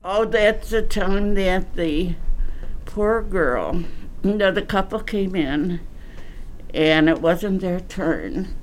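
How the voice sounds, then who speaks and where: A woman's voice: on the low side, slow, slight background noise, poor audio. An older woman reminiscing casually as a court clerk in an interview, repeating phrases for emphasis.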